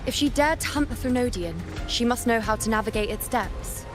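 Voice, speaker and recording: An actress playing a composed, knowing guide in a video game, briefing in calm, deliberate, cryptic lines. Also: female voice, a little high, close to an everyday voice. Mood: composed, instructive. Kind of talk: scripted video game acting. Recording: game audio under music.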